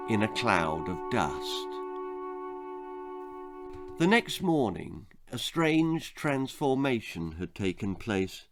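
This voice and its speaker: A male author narrates a grisly comic tale for children. He takes his time and varies his pitch widely for drama. Faint music runs under the reading. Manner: dramatic, expressive. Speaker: author reading own story. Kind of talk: scripted children's horror-comedy narration.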